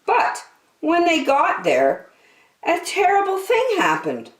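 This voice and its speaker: A woman hosting story time, reading a children's book aloud in an expressive, deliberate, sing-song way. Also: sits high. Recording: echoey room.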